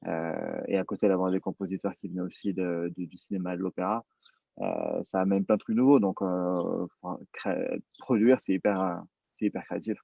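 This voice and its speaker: A man with a deep voice talks informally as a producer on a panel. He uses casual, slangy wording, and the sound is close and dry. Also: animated, a little fast, creaky, no echo, phone-quality sound. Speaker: podcast producer, panel guest.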